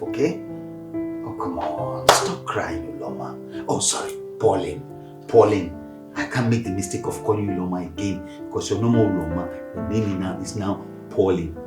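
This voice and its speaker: A man playing a hushed, scheming father. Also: lowish voice, expressive, moderate pace, loud music behind the voice, lightly acted. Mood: soothing. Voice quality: hushed, coaxing. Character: secretive scheming father comforting his daughter.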